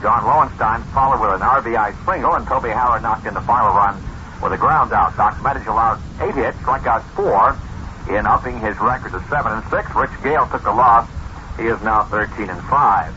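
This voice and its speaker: Bright, forceful male sports announcer on old radio. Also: medium pitch, a little fast. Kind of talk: out-of-town score report. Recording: dull old radio, faint noise.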